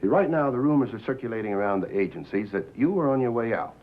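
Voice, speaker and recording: An actor playing a scheming, paternal network president in a 1950s film drama, condescending, with deliberate, hesitant pauses. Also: male voice, mid-range voice, lively intonation, phone-quality sound, lightly acted. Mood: calculating. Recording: thin, boxy old film soundtrack.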